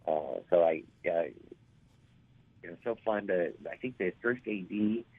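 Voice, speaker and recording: A man in his forties with a raspy, hoarse voice, telling stories as an interview guest and rambling with many fillers and restarts. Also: sits low, measured pace, no room sound. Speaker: actor guest. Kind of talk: casual interview storytelling. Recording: tinny phone line.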